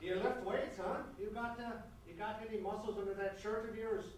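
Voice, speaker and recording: Man playing a brash high-pitched press agent, echoey. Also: fairly even intonation, fairly quick, far from the mic, close to an everyday voice. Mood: belligerent bluster. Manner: blustering, wisecracking. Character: brash, boozy, belligerent male theatrical press agent. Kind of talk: theatrical stage comedy acting. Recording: echoey room from afar, noisy.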